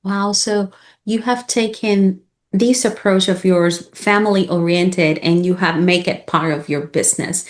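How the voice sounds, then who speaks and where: A woman's voice: medium pitch, steady tone, measured pace. Enthusiastic woman host. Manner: enthusiastic, sums up the guest's answers. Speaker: podcast host, interviewer. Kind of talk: interview questions.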